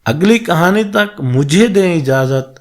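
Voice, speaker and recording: Slow, lively-voiced male storyteller. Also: a little high. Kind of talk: scripted moral folk tale narration.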